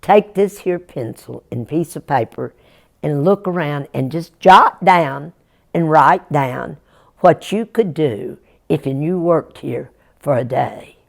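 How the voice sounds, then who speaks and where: A woman's voice: low-pitched, animated, slow, creaky, heavily acted. A woman storyteller voicing a kindly middle-aged country storekeeper with a folksy drawl.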